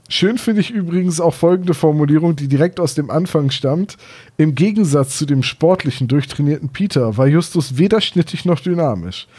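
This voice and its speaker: A man's voice: a little high, mellow. A man co-hosting a casual discussion of books and audio dramas in a chatty, explanatory way. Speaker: podcast co-host.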